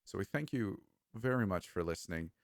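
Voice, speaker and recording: A man with a deep, low-pitched voice hosts a casual podcast. He talks in a loose, joking way. The sound is dry and close, with no room echo. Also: expressive, brisk. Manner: laid-back, jokey. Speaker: podcast host.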